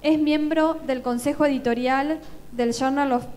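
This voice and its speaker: High-voiced woman introducing a speaker. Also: steady tone, a little mellow. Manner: brisk, reads a biography aloud. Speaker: conference moderator.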